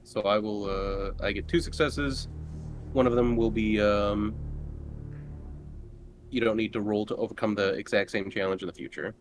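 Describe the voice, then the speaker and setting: A man's voice: lowish voice, steady tone, moderate pace, long pauses, over music. A man chatting casually as a player in a tabletop roleplaying game.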